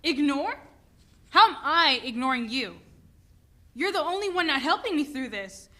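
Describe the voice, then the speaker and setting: A woman's voice: high voice, very animated, moderate pace, bright, forceful tone, full character voice. A woman playing a stressed, driven mother and restaurant owner in a dramatic play reading. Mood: exasperated, defensive.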